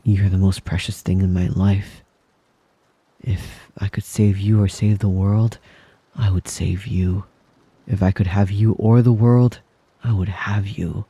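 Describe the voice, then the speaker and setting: A man playing a possessive, doting yandere wolf boy in an intimate ASMR roleplay, slow and soft, cooing praise and often dropping into a whisper at a close mic. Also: lowish voice, steady tone, slightly rough, no room sound. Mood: adoring.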